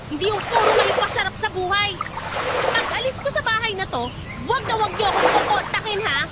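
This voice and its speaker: A woman playing an angry, indignant grown daughter in a radio drama, sharp-tongued and defiant. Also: extremely high pitch, fairly quick, heavy background noise. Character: angry grown daughter confronting her father and his partner. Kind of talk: Filipino radio drama acting. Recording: loud music bed.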